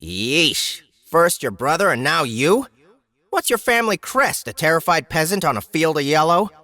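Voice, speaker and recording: Snarky, very animated game-system spirit. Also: male voice, on the high side, moderate pace, dry recording. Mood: taunting, scornful. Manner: snarky, mocking.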